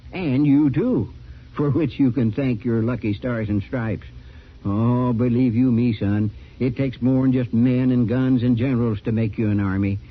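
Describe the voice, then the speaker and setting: Husky, crusty old frontier codger. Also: male voice, medium pitch. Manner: folksy, rustic drawling phrasing. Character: crusty, folksy, excitable old frontier town doctor. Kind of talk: old-time radio drama acting. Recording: dull old radio recording.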